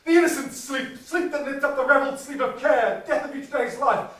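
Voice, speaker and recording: An actor playing a guilt-ridden Scottish lord, declaiming Shakespearean verse on stage, recorded from far off in an echoey hall. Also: male voice, very high-pitched, brisk, a bit bright. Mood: frantic. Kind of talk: stage play performance. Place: theatre stage in a large hall. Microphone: distant room mic.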